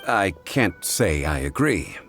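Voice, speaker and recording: Deep-voiced, composed gentleman scholar. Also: sing-song, full character voice. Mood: composed, disagreeing. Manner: measured, formal, politely reasoning. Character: calm, erudite, courteous gentleman scholar in fantasy world. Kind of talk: fantasy video game character acting. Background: background music.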